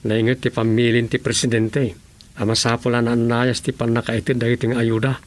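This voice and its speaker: A man's voice: medium pitch, little pitch movement, a little fast, natural voice. An actor with an Ilocano Filipino accent playing a grumbling village man in a radio comedy drama. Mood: grumbling, explaining.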